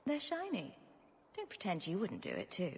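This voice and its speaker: An actress playing a sarcastic, quick-witted champion adventurer with a dry, joking delivery in a video game. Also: female voice, on the low side, huge pitch swings, gravelly, big-room sound, a little hiss or hum, exaggerated voice. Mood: wry.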